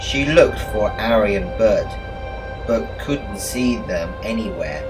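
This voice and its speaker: Male children's storybook narrator, unhurried and expressive, lively pitch, music underneath. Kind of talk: scripted read-along children's story narration. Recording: music bed behind the voice.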